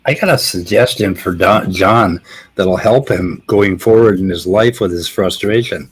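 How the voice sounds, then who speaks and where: Low husky man, dryly sardonic. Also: measured pace, rough recording. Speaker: host. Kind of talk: casual panel discussion.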